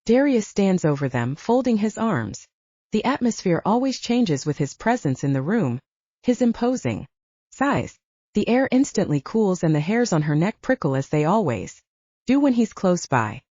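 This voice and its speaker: A woman's voice: medium pitch, very animated, moderate pace, warm tone, no echo. A woman reading scripted fiction as an audiobook narrator, in a dramatic storytelling style. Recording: dull lo-fi audio, dry.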